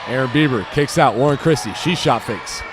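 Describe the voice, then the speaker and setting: A young American man giving live basketball play-by-play in short, clipped calls that rise to excited shouts. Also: mid-range voice, a little fast. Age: young adult. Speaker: play-by-play commentator. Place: indoor high school gym during a game. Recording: noisy gym with loud crowd. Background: crowd noise, bouncing basketball.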